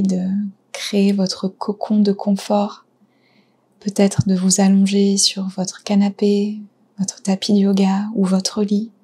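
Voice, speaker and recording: Female meditation guide: mellow, very husky and breathy tone, soft soothing phrasing with room to pause. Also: medium pitch. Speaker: yoga nidra guide.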